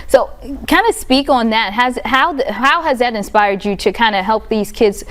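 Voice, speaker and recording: A woman's voice: on the high side, moderate pace, a bit bright. Woman news anchor, affirming and conversational. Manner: smooth, affirming with short mm-hmms.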